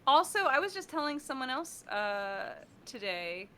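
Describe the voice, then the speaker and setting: A woman chatting casually as a guest, telling stories in an animated way and often interrupting herself. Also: sits high, unhurried, clear, resonant tone. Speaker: guest, freelance game audio professional. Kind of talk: casual chat.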